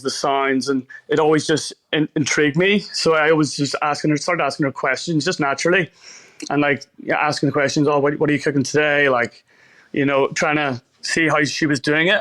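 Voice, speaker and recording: A man's voice: on the high side, fairly bright tone. A man with a Northern Irish accent talking casually as an interview guest, often rephrasing himself as he goes. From Belfast, Northern Ireland. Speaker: chef, podcast guest.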